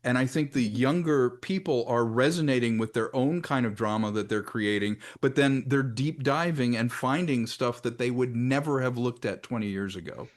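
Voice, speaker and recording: A man's voice: medium pitch, moderate pace, few pauses. Middle-aged man making emphatic points. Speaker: panelist, audio drama creator. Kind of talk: panel discussion, informal.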